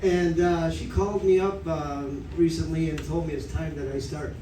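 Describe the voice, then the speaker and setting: A man in his sixties doing a stand-up comedy set, rambling and halting, with lots of fillers. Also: fairly high pitch, steady tone, recorded from a distance. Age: 60s. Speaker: stand-up comic. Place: comedy club stage, audience laughing. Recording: echoey club room recorded from afar with noise.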